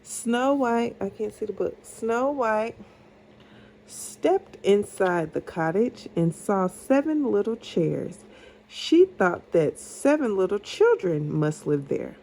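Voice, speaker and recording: A mother reading a storybook aloud to her child in a patient, deliberate, encouraging way. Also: medium pitch, big pitch swings, a little mellow, a little hiss or hum, rough recording.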